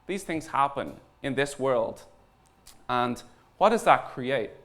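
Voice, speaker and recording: Male, Irish accent, mid-pitched voice. Conference speaker: informal, earnest, deliberate pauses. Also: expressive, a bit bright. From Ireland. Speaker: conference speaker, startup founder and designer. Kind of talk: conference talk.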